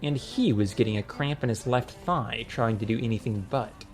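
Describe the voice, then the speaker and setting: A man's voice: mid-range voice, moderate pace, soft background music. A man narrating a comic fantasy audiobook in a wry, scripted storytelling style.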